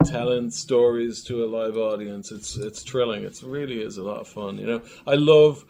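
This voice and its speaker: Mid-pitched man chatting over faint room noise. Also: steady tone, normal speed, creaky, dark tone. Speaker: filmmaker, interview guest. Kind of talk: casual conversation.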